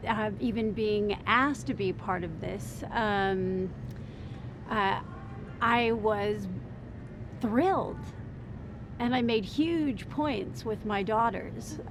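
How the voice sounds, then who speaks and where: A woman guest speaking haltingly with many fillers in a casual interview. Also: on the high side, noisy background. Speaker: event guest.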